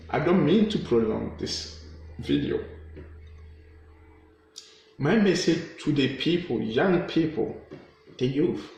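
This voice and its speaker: A man's voice: fairly high pitch, a little hiss or hum. A man with a Ghanaian accent, a campaigner urging listeners to fight corruption in a fervent appeal to camera, repeating phrases for emphasis. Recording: very echoey room.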